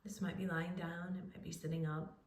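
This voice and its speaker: A woman leading a guided relaxation, speaking in a soothing, unhurried way with pauses as she gives gentle instructions. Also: on the low side, level tone, a little hiss or hum. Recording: roomy echo, distant microphone.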